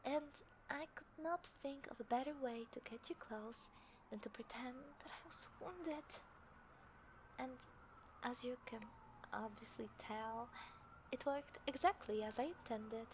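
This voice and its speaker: A woman playing a taunting, smug serial killer in an audio roleplay, speaking deliberately and theatrically with breathy drops toward a whisper. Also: fairly high pitch, close to an everyday voice, rough recording. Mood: gloating. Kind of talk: scripted audio roleplay addressed to the listener. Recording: clear background noise, city ambience effects.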